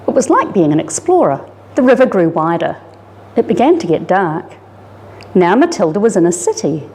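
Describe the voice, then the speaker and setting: A woman reads a children's picture book aloud in a dramatic, animated storytelling manner. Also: fairly high pitch. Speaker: story reader, disaster resilience officer. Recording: reverberant hall-like room.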